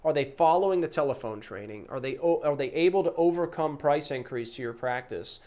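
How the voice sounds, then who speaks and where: A man's voice: on the high side, a little fast, warm tone. A man presenting a promotional explainer as a trainer, talking in an emphatic, conversational way and posing rhetorical questions. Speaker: host and practice-management trainer pitching a service. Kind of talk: promotional explainer to camera or listener.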